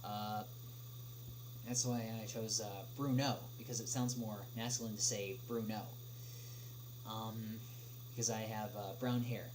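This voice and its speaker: A man chatting casually on a livestream as he answers viewer questions, speaking haltingly with long pauses. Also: on the low side, fairly even intonation. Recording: noisy livestream audio with clear hum.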